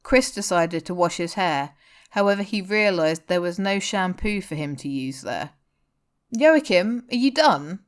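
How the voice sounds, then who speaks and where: Woman reading fan fiction out loud, voice a bit on the low side. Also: normal speed, clear, resonant tone. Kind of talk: scripted story narration.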